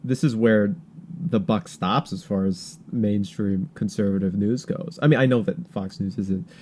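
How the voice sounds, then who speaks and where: A man hosting a podcast with casual political commentary, talking in a halting, rambling way with stammered repeats. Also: on the low side, darkish tone, a little hiss or hum. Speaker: podcast host.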